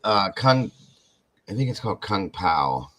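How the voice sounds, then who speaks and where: Male podcast host, deep, loose and animated delivery. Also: measured pace. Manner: rambling, animated, talks to chat viewers. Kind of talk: casual podcast chat.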